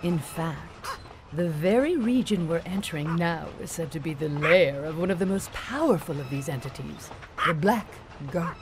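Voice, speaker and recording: An older, eccentric widow from an audio drama, chatty and grand in her storytelling. Her lowish voice swings widely in pitch, over a clearly noisy train background. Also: animated, moderate pace. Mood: eager, lecturing. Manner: theatrical storytelling, grandiose diction. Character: chatty eccentric older widow, folklore researcher, doting dog owner.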